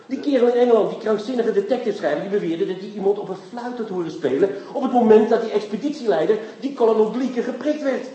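Brusque high-pitched police inspector. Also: male voice, fast talker, few pauses, warm tone, breathy edge, muffled recording. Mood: excited, urgent. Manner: bossy, cursing, sardonic. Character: brusque, impatient police inspector, sardonic and excitable. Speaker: actor in a radio play. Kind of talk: Dutch radio drama acting.

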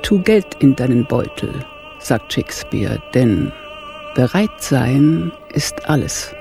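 Shrewd, gravelly old lady gang boss: slow, dark-toned, theatrical, for radio crime comedy. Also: a bass voice, wildly swooping pitch, faint music behind, exaggerated voice. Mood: scheming, satisfied. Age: older. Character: shrewd old wheelchair-bound woman, gang's brain.

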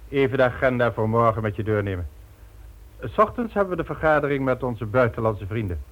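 An actor playing a cool, calculating crime boss in a radio drama, measured and smugly explanatory. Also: male voice, mid-range voice, very animated, lightly acted. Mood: calculating, assured. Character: cool, calculating male crime boss, methodical and self-assured. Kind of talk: Dutch radio drama acting. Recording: dull old radio recording.

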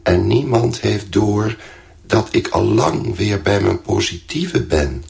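Slow, low-voiced, gravelly bewildered narrator. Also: male voice, expressive, darkish tone, no echo, heavily acted. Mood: confident, reasoning. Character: trapped, bewildered man recounting his own ordeal. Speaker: narrator and lead character. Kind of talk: radio drama first-person narration.

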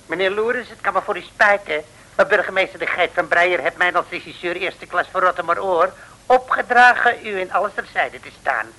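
An officious, deferential police underling from an old radio comedy. He speaks formally and dutifully in a high, forceful, bright voice. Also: moderate pace, low-quality recording. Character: officious, fussy, deferential male police assistant. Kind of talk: 1950s radio serial acting.

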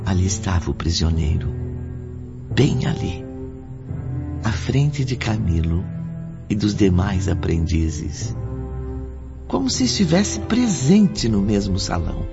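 Solemn brazilian radio drama storyteller, slow n deliberate, lowish voice, muffled w music. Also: male voice, very animated, vocal fry, exaggerated voice. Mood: grave. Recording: dull lo-fi audio under music. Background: background music.

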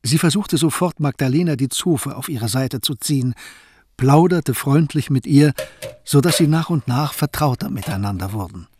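A man narrating a radio play in a measured, storytelling way. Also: medium pitch, expressive, darkish tone, breathy edge, no echo. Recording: faint music under the voice.

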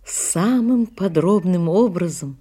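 Mellow, low-voiced woman narrating. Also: expressive, slow pace, slightly rough. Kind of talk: scripted literary narration.

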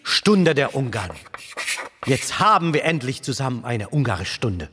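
An actor playing a passionate teacher of Hungarian in a radio play, husky, emphatic and didactic. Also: male voice, medium pitch, sing-song, normal speed, long reverb tail, close to an everyday voice. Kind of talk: radio play acting, lecturing.

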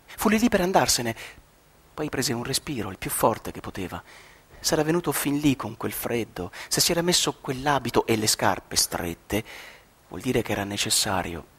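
An actor playing an elderly male pensioner, wry and grumbling, in a theatrical radio play, with a husky voice. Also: mid-range voice, very animated, normal speed, a bit bright, heavily acted. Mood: reflective. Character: elderly pensioner man in too-tight shoes, wryly grumbling. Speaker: stage actor. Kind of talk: theatrical radio drama narration.

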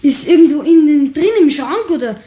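Hohe, bayerische Bubenstimme von einer Frau gespielt. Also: female voice, sits high, fairly quick, mellow, lightly acted. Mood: puzzled. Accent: Bavarian. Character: cheerful, eager schoolboy Steffi, playful and stubborn. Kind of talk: German children's radio play acting.

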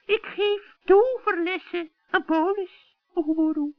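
A performer playing a scheming old witch in a children's radio play, wheedling and theatrical with drawn-out words. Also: extremely high pitch, no room sound, faint music behind. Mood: feigned innocence, sly. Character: scheming old female witch giving magic lessons. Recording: dull vintage radio recording.

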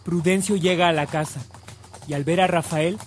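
A man's voice: a little high, steady tone, moderate pace, slight background noise. A man with a Mexican accent reading scripted narration for a radio drama.

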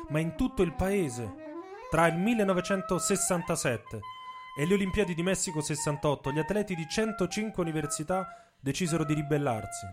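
A man reading scripted, dramatic narration for a radio theatre piece. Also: sits high. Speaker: author and narrator. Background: music with instruments.

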